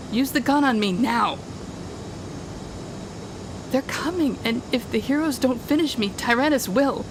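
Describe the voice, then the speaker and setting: A woman acts out a weary female supervillain in an audio drama. She speaks slowly and directly to one listener, bitter but fair, with a wry, dramatic edge. There is a faint rumbling noise behind her. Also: exaggerated voice. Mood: desperate, anguished. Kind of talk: audio roleplay acting.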